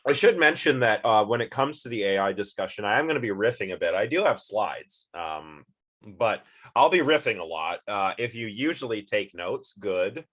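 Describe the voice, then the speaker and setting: A man's voice: lowish voice, lively intonation, normal speed, a bit bright. A man with a sniffly, stuffed-up voice giving a casual online philosophy lecture, chatty and rambling with many fillers and restarts.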